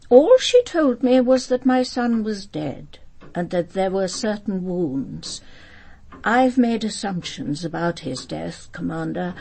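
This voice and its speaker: A woman's voice: on the low side, vocal fry, mellow, husky, heavily acted. An actress playing a proud, composed elderly aristocratic mother in a radio drama, with an upper-class English accent and a formal, precise, imperious way of speaking. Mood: controlled grief.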